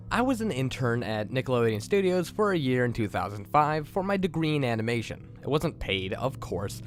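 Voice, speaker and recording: Male creepypasta narrator and host, mid-pitched, animated delivery, faint music bed. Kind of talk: scripted creepypasta story narration.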